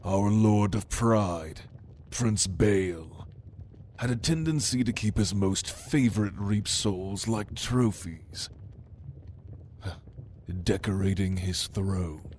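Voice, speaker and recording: A deep-voiced, prideful demon played by a man speaks slowly and deliberately, with mocking menace. His murmurs slip toward whispering in an intimate ASMR roleplay, over noticeable background noise. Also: darkish tone. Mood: covetous, sly. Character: sinister, prideful male demon, a prince's cunning right hand. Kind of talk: ASMR roleplay monologue to the listener.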